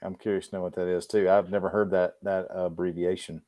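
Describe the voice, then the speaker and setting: A man's voice: deep voice, flat delivery, brisk, warm tone, dry recording, studio quality. A middle-aged American man co-hosting a casual livestream talk, laid-back and colloquial, repeating words for emphasis. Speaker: podcast co-host.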